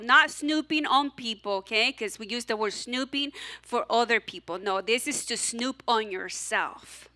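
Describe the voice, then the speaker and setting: A woman pastor with a noticeable accent delivers a sermon with lots of energy and warmth. Her voice sits a little high and rings bright and clear. She keeps the congregation involved, asking them to say lines back to her. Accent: non-native English. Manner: animated, encouraging, audience call-and-response. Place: church stage, large hall.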